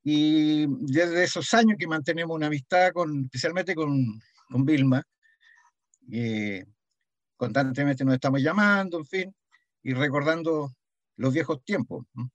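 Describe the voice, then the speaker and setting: An older man with a Chilean accent reminisces in a conversational interview, pausing and repeating words, often adding a short questioning "mm". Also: fairly high pitch, no echo, dull audio. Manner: hesitant, frequent "¿m?" tags and repeated words. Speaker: interviewee, heritage association founding member.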